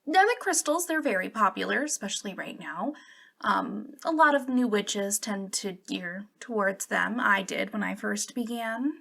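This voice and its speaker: A young woman playing a friendly, eager and slightly awkward witch shopkeeper in a softly spoken ASMR roleplay, with a hesitant, giggly way of talking. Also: mid-range voice, expressive, moderate pace, vocal fry. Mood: chatty, awkward.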